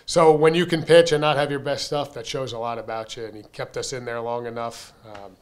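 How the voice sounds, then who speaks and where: A man's voice: mid-range voice, a little fast, clear, resonant tone. A man in his thirties, a baseball coach answering questions at a postgame press conference, talking candidly with lots of fillers and bursts of emphasis into a desk mic. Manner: candid, conversational, frequent fillers, energetic emphasis. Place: press room in front of a sponsor backdrop. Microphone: desk mic on a stand.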